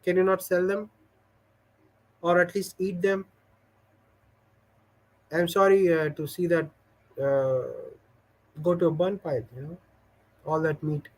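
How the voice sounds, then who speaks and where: Chatty man with a slightly high voice. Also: fairly even intonation, measured pace, long pauses, darkish tone, poor audio. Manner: rambling, hesitant, full of "uh" fillers; storytelling. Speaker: livestream host. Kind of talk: casual livestream chat, reading viewer comments.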